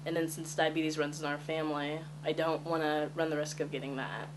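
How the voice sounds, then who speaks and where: A woman in her twenties speaks with a notably low voice, candid and conversational. She pauses on ums as she introduces herself for a casting tape. A faint noise sits behind her. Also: little pitch movement, poor audio. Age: 20s. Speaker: casting applicant.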